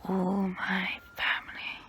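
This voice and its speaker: Casting: ancient female vampire played by a woman for ASMR role play. Breathy whisper, crisp bright edge, unhurried, proud yet wistful. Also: medium pitch, completely flat delivery. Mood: pained, subdued. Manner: slow, intimate, close-up, deliberate pauses.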